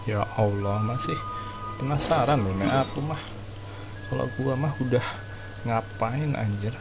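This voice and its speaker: A man's voice: mid-range voice, normal speed, loud music behind the voice. A man with an Indonesian accent giving slangy, offhand commentary as he streams a game. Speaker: gaming streamer. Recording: clear noise behind the voice.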